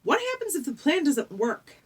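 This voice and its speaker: A woman plays a young girl hero in a storybook, earnest and a little wry. Her lines are quick, anxious and supportive, with lively intonation. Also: high voice, pitched above the performer's own voice. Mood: wry worry. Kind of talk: audiobook character voice.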